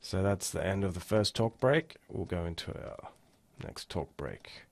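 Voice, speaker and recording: A man giving a casual, step-by-step software walkthrough as an instructor. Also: low voice, animated, a little slow, gravelly, no echo. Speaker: tutorial instructor. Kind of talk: screen-recorded software tutorial, casual walkthrough.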